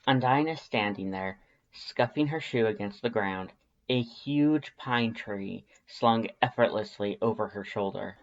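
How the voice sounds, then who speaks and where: A man reading fan fiction aloud as expressive scripted story narration. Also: lowish voice, measured pace, dull audio.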